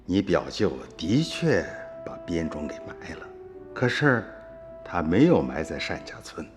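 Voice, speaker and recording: An actor playing an older male storyteller recounting family events to a listener in a radio drama, unhurried and wry. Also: low-pitched, big pitch swings, music in the background. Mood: warm. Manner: slow, chuckling storytelling. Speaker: narrator.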